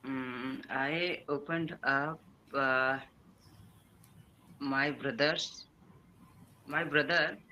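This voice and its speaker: A man from India, a Hindi speaker with an Indian English accent, practicing spoken English haltingly with many fillers and a polite manner. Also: medium pitch, steady tone, pushed and bright, slight background noise, rough recording. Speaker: English learner. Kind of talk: hesitant student conversation practice.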